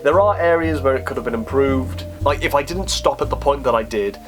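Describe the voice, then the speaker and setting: A man's voice: mid-range voice, expressive, brisk, music in the background. A man talking casually in a Q&A vlog, informal and self-deprecating, thinking aloud as he answers. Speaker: YouTube creator answering viewer questions.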